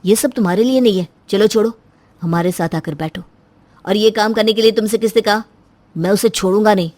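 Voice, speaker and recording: A woman's voice: medium pitch, fairly quick, no echo, lightly acted. Woman playing an indignant, protective adult brother. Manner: indignant, forceful. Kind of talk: moral story voice acting.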